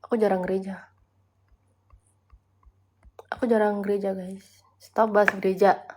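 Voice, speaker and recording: A woman's voice: mid-range voice, level tone, measured pace, noisy background. A young woman from Bali chatting casually on a live stream, reading viewer comments aloud and answering, mixing in English words. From Bali, Indonesia. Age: young adult. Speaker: livestreamer. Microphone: phone.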